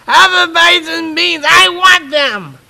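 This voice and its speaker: A piercingly bright, high-pitched little boy, stubborn and petulant. He whines through drawn-out, creaky words in a horror storybook narration. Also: exaggerated voice. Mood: petulant, insistent. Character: whiny, stubborn young boy demanding food and sweets.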